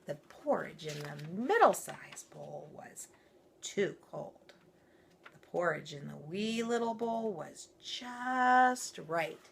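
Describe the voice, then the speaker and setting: A woman's voice: on the low side, expressive, slow pace, creaky, a little hiss or hum. A woman reading a children's story aloud expressively, with informal, playful asides.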